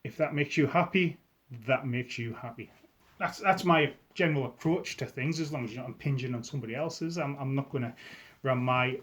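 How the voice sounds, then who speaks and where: Easygoing Scottish man chatting to camera. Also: mid-range voice. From Scotland. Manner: chatty and easygoing, with frequent restarts. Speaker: whisky vlogger. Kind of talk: casual chat to camera.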